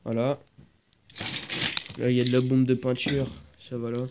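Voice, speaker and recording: A man's voice: medium pitch, little pitch movement, moderate pace. A teenage boy with a stuffy cold, talking hesitantly and searching for words while filming his moped work on a phone. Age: teens. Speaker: vlogger. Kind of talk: casual moped workshop vlog. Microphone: phone camera, handheld then propped. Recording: dull lo-fi phone audio with noise.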